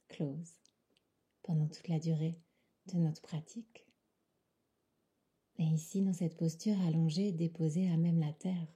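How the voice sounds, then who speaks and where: A woman leading a guided meditation in a soft, calm, soothing voice that often drops to a near whisper, with unhurried phrasing. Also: low voice, breathy edge. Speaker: yoga nidra guide.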